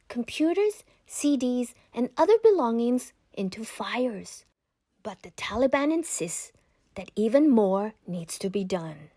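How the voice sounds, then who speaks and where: A woman narrating a children's picture book aloud in a measured, expressive reading style. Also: fairly high pitch, no echo, clean studio sound. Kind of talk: scripted storybook narration.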